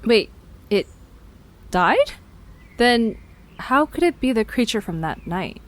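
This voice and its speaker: A woman's voice: a little high, very animated, slow. A woman playing a blunt, easily flustered young amateur investigator in an audio drama, with a hesitant, sardonic way of talking. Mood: puzzled. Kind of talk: audio drama dialogue, conversational.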